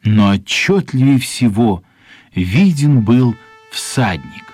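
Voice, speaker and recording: A man narrating a children's story as scripted radio drama, with expressive, unhurried dramatic phrasing and a husky voice. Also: mid-range voice, soft background music.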